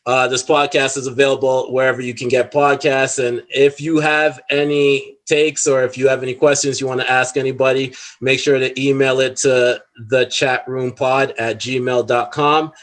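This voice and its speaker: A man's voice: mid-range voice, little pitch movement, moderate pace, hardly any pauses, fairly bright tone. A man with a Toronto accent hosting a casual panel podcast. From Canada. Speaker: podcast host.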